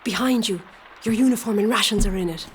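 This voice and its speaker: An actress playing an anxious, pleading rebel's wife in an audio drama. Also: female voice, mid-range voice, quick pace, a little hiss or hum, close to an everyday voice.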